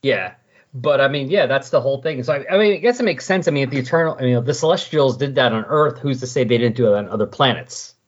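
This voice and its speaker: A man with a medium-pitched, somewhat rough-edged voice chats informally, working through his thoughts as he goes. His delivery is loose and explanatory, like a fan podcast discussion. The recording is a little dull and lo-fi. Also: expressive, fast. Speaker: podcast co-host discussing comics. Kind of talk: casual, rambling comics discussion.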